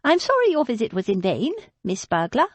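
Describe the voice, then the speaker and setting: Frail old aristocratic lady, tart and haughty, higher, lively, breathy delivery, voiced by a woman narrator. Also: a little fast, no echo. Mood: proud, forlorn. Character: frail proud elderly aristocratic lady, sharp-tongued.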